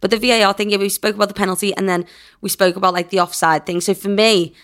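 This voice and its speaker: A woman's voice: medium pitch, fairly even intonation, quick pace, a bit bright. A woman co-hosting a casual chat, rambling and hesitant, a bit hungover. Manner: rambling, hesitant, laughs often. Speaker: co-host.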